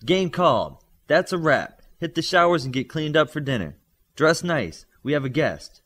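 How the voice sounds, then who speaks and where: A man voicing a stern male coach of young superheroes in an audiobook, with loud, clipped authority. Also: fairly high pitch, big pitch swings, moderate pace, pitched above the performer's own voice. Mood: loud, wrapping up.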